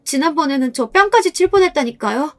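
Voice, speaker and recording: Pleading young sister-in-law for story audio, done by a female narrator; high, imploring, whiny. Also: animated, fast, fairly bright tone. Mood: desperate pleading. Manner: whining, imploring. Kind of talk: acted dialogue in a narrated story.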